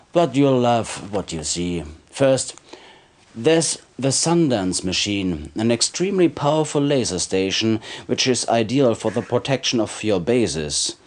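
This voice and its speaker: A sly, low-voiced arms dealer. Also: male voice, big pitch swings, unhurried. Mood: smug, persuasive. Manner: smug, chuckling salesman patter. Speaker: game character actor. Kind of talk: video game cutscene acting.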